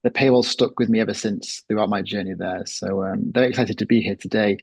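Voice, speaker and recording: A man from Manchester introducing himself on a business webinar panel, relaxed with many fillers. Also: lowish voice, fairly quick, vocal fry, slightly rough, no echo. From Manchester, England. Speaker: panelist, services director.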